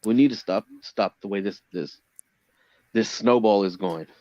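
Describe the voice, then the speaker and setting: Lowish male voice, stumbling repeats, casual chat, dry dull sound. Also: darkish tone, no room sound. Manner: halting, repeats words, laughs easily. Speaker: co-host.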